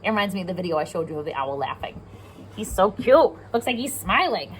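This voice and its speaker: A woman's voice: fairly low pitch, big pitch swings, brisk, noisy background. A woman with an American accent reading a picture book aloud to young children, explaining simply and asking them questions as a pre-K teacher.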